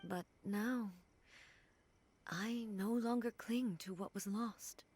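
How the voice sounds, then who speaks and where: A woman voicing a dignified female ruler in a video game, speaking in a measured, formal and reflective way. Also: medium pitch, a little mellow, breathy edge. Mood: solemn. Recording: video game audio with faint music.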